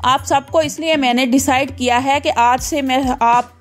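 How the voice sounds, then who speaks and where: Lady doing a strict daughter-in-law in a cartoon, bossy, high voice. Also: steady tone, a little slow, faint music behind. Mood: scolding. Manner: scolding, bossy. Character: firm young daughter-in-law, strict about healthy food. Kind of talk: cartoon voice acting.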